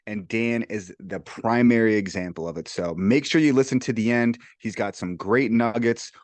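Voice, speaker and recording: Co-host: lower-voiced man, upbeat, close dry recording. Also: moderate pace, darkish tone, no echo. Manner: upbeat, enthusiastic. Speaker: podcast co-host. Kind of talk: podcast intro chat.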